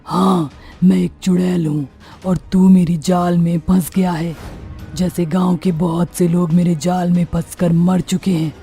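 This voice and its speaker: A woman's voice: fairly low pitch, level tone, measured pace, vocal fry, warm tone, soft background music. A woman playing a bloodthirsty, imperious witch who threatens her victims in a dramatized moral story. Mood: gloating threat. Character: menacing bloodthirsty witch who lures and kills villagers.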